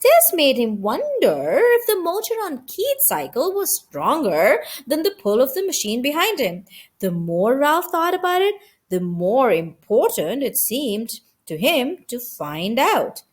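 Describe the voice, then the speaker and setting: High-pitched, animated woman reading a story. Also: a little slow, breathy edge. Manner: dramatic, emphatic delivery. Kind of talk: expressive children's story reading.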